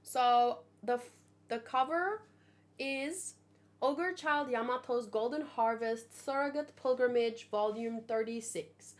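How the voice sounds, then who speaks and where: A woman hosting a manga read-along, talking in a casual, expressive, thinking-aloud way. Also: sits high. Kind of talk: casual reaction commentary with read-aloud dialogue.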